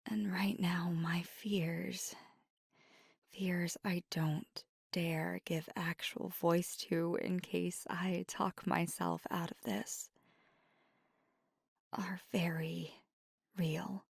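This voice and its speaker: A woman playing a nervous, tender vampire girlfriend in an intimate ASMR roleplay, whispering breathily and haltingly with earnest devotion. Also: fairly low pitch, flat delivery, gravelly, husky, no echo, clean studio sound, exaggerated voice. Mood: tender, anxious. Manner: hesitant, halting, earnest delivery.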